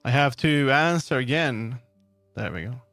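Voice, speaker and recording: A man livestreaming, chatting casually with viewers in a rambling, halting way as he muses aloud. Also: mid-range voice, lively intonation, a bit bright, no room sound. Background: background music.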